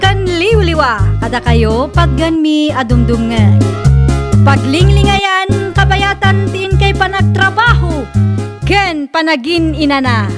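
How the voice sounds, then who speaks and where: Ilocano filipino lady announcer, high voice, takes her time, radio drama intro with loud music behind. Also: expressive, slow.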